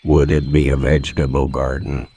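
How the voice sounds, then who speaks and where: Very deep, gloomy old-toy donkey. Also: male voice, flat delivery, dark tone, slight background noise. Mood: doubtful. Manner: glum, halting, downbeat delivery. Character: gloomy, slow, resigned male toy donkey. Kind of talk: children's storybook read-aloud.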